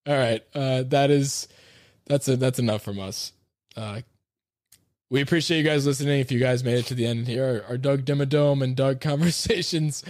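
A man's voice: medium pitch, fairly even intonation, normal speed, darkish tone, dry recording, clean studio sound. A man hosting a sports podcast, bantering casually and rambling in a joking way.

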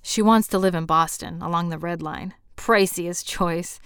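A woman's voice: on the low side, unhurried, a bit bright, clean tone, no room sound, clean studio sound. A woman voicing an easygoing, mild man who tends to hedge, in an audiobook. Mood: casual, teasing. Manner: hesitant, mild. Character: easygoing, hedging man nicknamed Swifty. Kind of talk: audiobook character dialogue.

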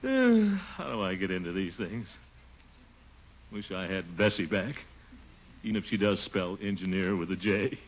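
A man's voice: low-pitched, bright, forceful tone, exaggerated voice. An actor playing a pompous middle-aged man in a 1940s radio sitcom, grumbling in exasperated asides and bursting out indignantly. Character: blustery, pompous middle-aged man harried at his office. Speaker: title character of a radio sitcom. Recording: hissy, muffled 1940s radio broadcast.

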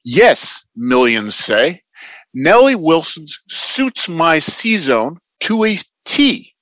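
A man's voice: a little high, big pitch swings, fairly bright tone, dry recording, heavily acted, rough recording. A man playing an earnest old-time radio announcer, reading a parody commercial in a salesmanlike manner. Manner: salesmanlike, deliberate.

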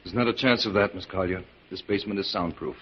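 An actor playing a grave doctor held captive and facing doom, in 1940s radio style. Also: male voice, low voice, quick pace, slightly rough. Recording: very noisy, muffled 1940s radio recording.

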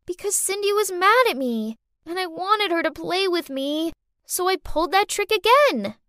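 A woman's voice: very high-pitched, animated, normal speed, a bit bright, no echo, clean studio sound, voice pushed up. A woman voicing a scheming little girl in a story, with pushy, childish, whiny delivery. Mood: pleading confession.

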